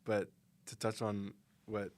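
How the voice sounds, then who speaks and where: A young man, a college student, answering interview questions in an earnest, steady way with some fillers. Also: fairly low pitch, slow pace. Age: young adult.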